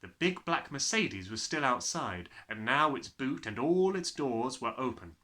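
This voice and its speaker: A man narrates a children's story with animated, swooping intonation. His mid-pitched voice is bright and forceful, and his reading is expressive. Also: measured pace.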